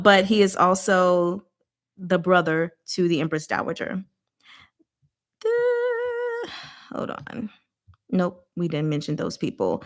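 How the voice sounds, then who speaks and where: Casual, slightly low-voiced woman hosting a livestream. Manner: animated, informal, playfully exasperated. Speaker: host of a book discussion livestream. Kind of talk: casual live chat with viewers.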